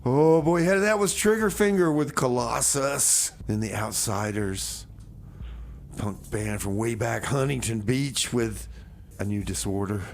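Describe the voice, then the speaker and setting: A man's voice: mid-range voice, measured pace, a little hiss or hum. A male radio host with a folksy, rambling manner, bantering between songs and comedy bits. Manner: folksy, rambling, repeats phrases.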